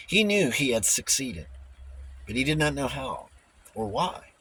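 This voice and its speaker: A man with a husky voice reading a novel aloud as an audiobook narrator. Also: mid-range voice, fairly bright tone. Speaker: audiobook reader. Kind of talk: scripted novel narration with character dialogue.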